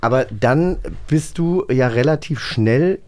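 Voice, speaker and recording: A man's voice: medium pitch, animated, slow pace, a little mellow. A man co-hosting a casual interview, talking in a chatty, rambling way. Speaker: co-host, interviewer. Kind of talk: casual chat.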